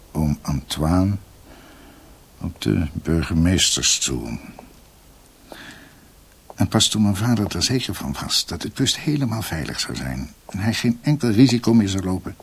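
An actor playing a grave doctor who recounts his family's past in a halting, reflective radio drama narration. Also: deep voice, gravelly, slightly rough, heavily acted.

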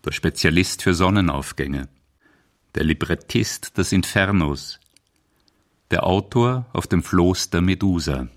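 A man's voice: low voice, lively intonation, a little slow. A man reading scripted narration for a radio documentary as its author and narrator.

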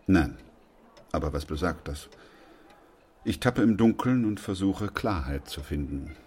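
A man playing a sober, weary, steadfast doctor in a German radio play, speaking in a grave, measured, reflective way. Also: low-pitched, animated, a little mellow, slightly rough, exaggerated voice. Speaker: actor playing the narrator and lead.

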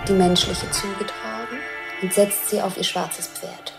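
A woman's voice: medium pitch, steady tone, measured pace, creaky. A woman playing an audio drama narrator who describes a fairy-tale scene in German, with music behind her. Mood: storytelling, observant.

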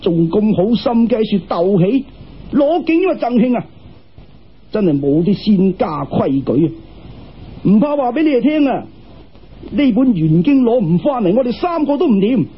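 一个男人用粤语口音扮演严肃而有慈悲心嘅道士师傅，语气似教训人又有威严，录音发闷。. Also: male voice, high voice, big pitch swings, moderate pace, breathy edge, heavily acted. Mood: annoyed. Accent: Cantonese. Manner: lecturing, solemn, commanding. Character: stern but merciful Taoist master with flying sword. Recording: dull, muffled radio-style recording.

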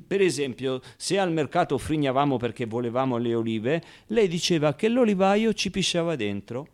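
A naive young orphan boy, acted by a man in a medium-pitched voice, tells his own story in a radio play. He is streetwise and tenderly confiding, with halting pauses and repeated words as he goes. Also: darkish tone, close to an everyday voice. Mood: confiding, amused. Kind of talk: theatrical radio monologue, first-person storytelling.

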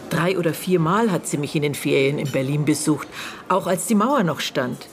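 An actress playing a middle-aged, bitter and guarded aunt in a naturalistic radio drama, speaking in terse, halting phrases as she searches her memory. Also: low-pitched, lightly acted. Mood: reflective, guarded. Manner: terse, halting, broken phrases with thoughtful pauses.

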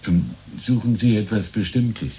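An actor playing a courteous, wistful old antique dealer in a radio drama, hesitant and gently deferential. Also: male voice, on the low side, measured pace, breathy edge. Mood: apologetic. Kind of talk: 1970s German radio drama acting.

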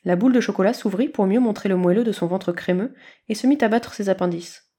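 Woman, story narrator, average pitch, expressive scripted reading. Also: fast. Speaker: podfic reader.

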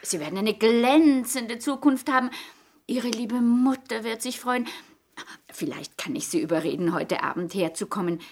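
An actress playing a gracious, effusive older society hostess in a 1960s German radio play, genteel and fluttery. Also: female voice, fairly high pitch, expressive, normal speed.

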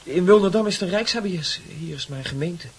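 Teen son role: slightly high voice, halting, evasive, pleading, radio drama. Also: male voice, animated, husky, exaggerated voice. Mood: hesitant, pleading. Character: hesitant, evasive teenage son pleading with mother. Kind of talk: Dutch radio play acting.

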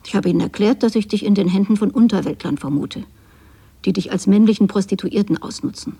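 Bitter, husky, reproachful mother. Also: medium pitch, fairly quick, dark tone. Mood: vindictive, accusing. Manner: accusing, precise, theatrical. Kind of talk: radio drama monologue.

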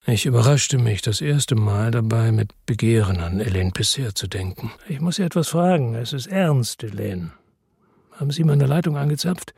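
A man's voice: medium pitch, animated, normal speed, creaky, darkish tone, breathy edge, no echo, clean studio sound. An actor playing a weary, wary male investigator who tells his own story tersely in a noir radio drama. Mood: tense, insistent. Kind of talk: first-person noir radio drama narration and dialogue.

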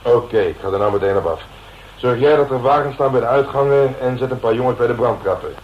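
An actor playing a cocky, hard-boiled police inspector in a radio drama, bossy and colloquial, with a self-satisfied way of talking. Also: male voice, medium pitch, fast talker, dull audio, a little hiss or hum, lightly acted. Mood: brisk, commanding. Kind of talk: Dutch radio drama acting.

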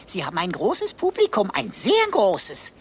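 A woman's voice: medium pitch, wildly swooping pitch, measured pace, slight background noise. A woman voicing a cheeky, philosophising computer sidekick in a radio drama, wry, wordy and mock-formal. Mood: gleeful, mocking.

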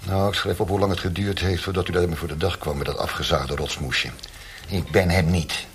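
An actor in a radio drama playing a menacing secret police interrogator who rambles with false joviality in a gravelly voice. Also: male voice, low-pitched, steady tone, a little hiss or hum. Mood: mocking. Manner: falsely jovial, digressive, unhurried.

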